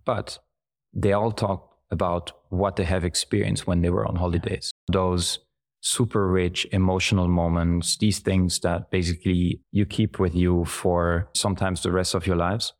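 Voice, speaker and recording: Deep guy in his 40s, calm measured podcast guest, lists things out. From German-speaking Belgium. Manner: measured, thoughtful, listing examples at length. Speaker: guest, brand executive. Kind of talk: reflective conversational interview.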